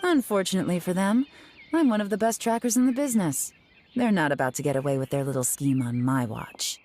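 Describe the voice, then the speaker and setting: Woman acting a low-voiced, cool, confident tracker. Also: brisk, a little mellow, natural voice. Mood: composed, confident. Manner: composed, assured, lightly teasing. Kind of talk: scripted video game dialogue. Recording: game music behind the voice.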